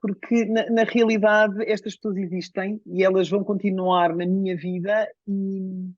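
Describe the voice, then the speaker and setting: A woman with a Portuguese accent speaks slowly and reflectively as a coaching client, often pausing and restarting. Her voice comes through a dry, dull, lo-fi video-call line. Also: medium pitch, flat delivery, darkish tone, no echo. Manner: hesitant, reflective, many pauses and restarts. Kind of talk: live coaching session.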